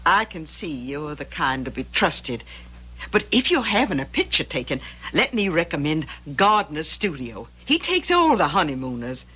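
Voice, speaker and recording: A woman's voice: deep voice, sing-song, moderate pace, pushed and bright, slightly rough, heavily acted, poor audio. An actress playing a chatty, folksy nineteenth-century townswoman offering friendly advice in an old-time radio drama.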